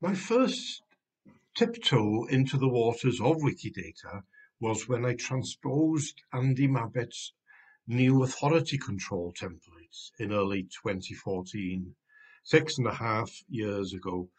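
A man in his sixties from Wales, a native Welsh speaker with a Welsh accent, giving a measured, explanatory tutorial recorded at a desk webcam at home. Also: medium pitch, expressive, breathy edge. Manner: measured, explanatory, some restarts. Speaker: Wikimedia manager presenting a tutorial. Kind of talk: screen-recorded tutorial narration. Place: home room, indoors.

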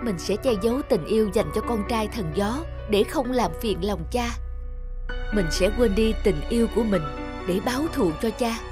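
Woman playing an earnest, high-voiced young goddess. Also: moderate pace, over music, natural voice. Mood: secretly grieving. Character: sorrowful young goddess, devoted daughter in love.

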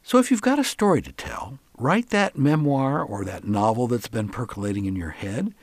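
A man hosts a talk radio show with a lively, swinging intonation. He chats in a folksy, conversational way. The sound is dry, with hardly any room in it. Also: medium pitch, normal speed, no room sound.

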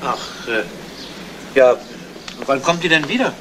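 A man playing a cautious, tactful police investigator in a 1970s radio crime drama, talking in a casual, measured way. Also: medium pitch, expressive, background noise, lightly acted. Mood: doubtful.

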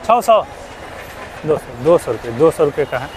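A young man from Bihar narrating a walking vlog at an outdoor crafts fair on a lapel mic, repeating himself and loudly asking vendors for prices. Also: on the high side, expressive, moderate pace, heavy background noise. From Bihar, India. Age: young adult. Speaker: vlogger. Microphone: clip-on lapel mic.

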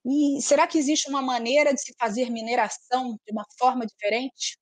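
A woman in her forties giving an online talk over a video call with a headset, speaking in a measured, explanatory, slightly formal way. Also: high voice, steady tone, dry recording. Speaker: speaker from a software firm. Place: room at home.

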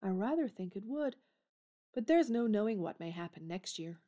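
A woman voices a blunt, tomboyish young woman in a radio play. Her mellow voice rises and falls freely. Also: mid-range voice, expressive, moderate pace, lightly acted. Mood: melancholy.

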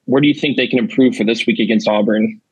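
Male reporter, husky mid-range voice, muffled lo-fi audio, asking a press question. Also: fairly low pitch, steady tone, fast talker, darkish tone, no echo. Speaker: sports reporter.

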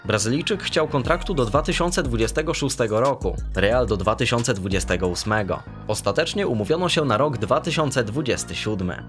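A young man hosting a football news show, reading scripted reports in a brisk, even newsreader manner from a small room. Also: mid-range voice, soft background music. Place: small room with brick wall and shelves.

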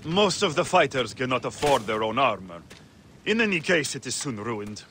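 A sardonic, showy arena master. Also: male voice, mid-range voice, big pitch swings, moderate pace, fairly bright tone, slight background noise. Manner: sardonic, theatrical, dismissive. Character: jaded, crude, showy male gladiator arena master. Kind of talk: video game character acting.

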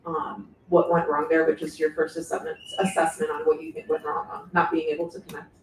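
A man's voice: a little high, little pitch movement, brisk, a little hiss or hum. A man reporter asking players about a game at a press conference, repeating words as he frames the question. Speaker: sports reporter. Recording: echoey room, distant mic.

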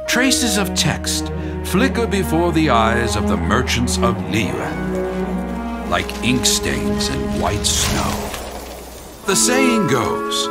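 An actor playing a grandiose storyteller in a video game, declaiming legends with drawn-out dramatic phrasing. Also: male voice, medium pitch. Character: grandiose teahouse storyteller man spinning local legends. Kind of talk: theatrical storytelling. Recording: loud music under the voice.

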